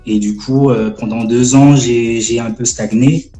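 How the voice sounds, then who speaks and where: A man in his twenties from French Guiana, a gospel rap artist chatting on a live stream, halting with many fillers and restarts. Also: mid-range voice, fairly even intonation, warm tone, roomy sound, soft background music. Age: 20s. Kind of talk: casual live-stream interview.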